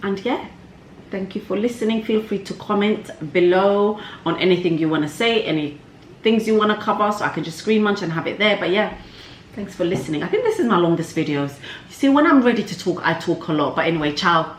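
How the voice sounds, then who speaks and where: A woman's voice: medium pitch, animated, brisk. A woman chatting casually to viewers in a candid, cheeky, slangy way, like a vlog host. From Somalia. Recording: echoey room, faint background noise.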